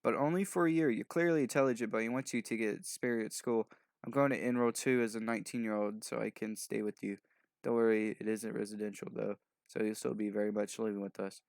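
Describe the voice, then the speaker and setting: Low, creaky-voiced man reading aloud. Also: fairly even intonation, fast talker, a little mellow, no echo. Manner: stumbling over words, mumbled skimming; offhand asides. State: sleep-deprived. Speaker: video host doing a fanfic reading. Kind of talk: loose, improvised reading aloud.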